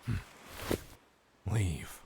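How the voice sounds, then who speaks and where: An actor playing a brooding, love-struck male god who speaks in a measured, solemn, guarded way in an audio drama. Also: noisy background. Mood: guarded, bitter. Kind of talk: dramatic audio fiction acting, reflective narration.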